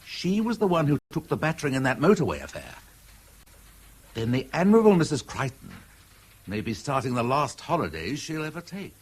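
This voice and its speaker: A brusque senior British detective in a radio drama. He barks orders and muses aloud with lively swings of pitch, in a slightly rough, husky voice. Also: fairly quick. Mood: suspicious, musing. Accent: British English. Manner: brusque, commanding, musing aloud. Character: gruff, domineering senior police detective, a man.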